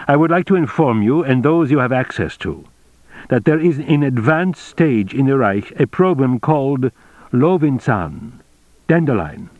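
A man's voice: mid-range voice, big pitch swings, measured pace, a little mellow, no room sound, highs cut off, voice pushed up. A man voicing a composed German naval intelligence captain in an audiobook, secretive and urgent, with a clipped, formal briefing manner. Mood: grave.

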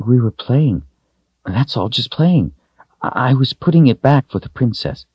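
A man's voice: medium pitch, animated, unhurried, slightly rough, no room sound, highs cut off, pitched above the performer's own voice. A man voicing a frightened little servant girl in a storybook, meek, pleading and whimpering. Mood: anxious, eager.

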